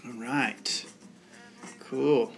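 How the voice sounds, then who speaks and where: A man's voice: mid-range voice, fairly even intonation, very slow, creaky, slight background noise. Laid-back chatty guy streaming. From United States. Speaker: bartending channel host. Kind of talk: casual live-stream chat.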